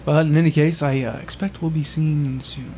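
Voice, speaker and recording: A man's voice: on the high side, steady tone, normal speed, background noise, lightly acted. A man playing an earnest, easily flustered field journalist in a comedic audio drama. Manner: stammering when addressing people. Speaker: reporter. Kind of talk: comedic audio drama, mock news report.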